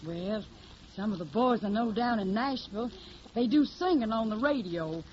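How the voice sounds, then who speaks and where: A woman's voice: on the high side, lively intonation, normal speed, darkish tone, slight background noise, natural voice. A woman in an old radio drama playing a young woman who poses as a naive country girl from Tennessee, with a folksy Southern drawl and a feisty, plain-spoken manner. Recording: dull lo-fi old radio recording.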